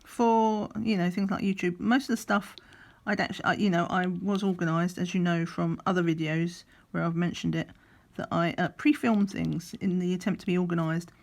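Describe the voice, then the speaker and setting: A middle-aged woman, slightly croaky, chatting in a rambling way while doing a craft, sometimes counting under her breath. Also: on the low side, steady tone, normal speed, few pauses, a little mellow. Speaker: crafting vlogger. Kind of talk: relaxed chatty craft vlog. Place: at a craft table indoors.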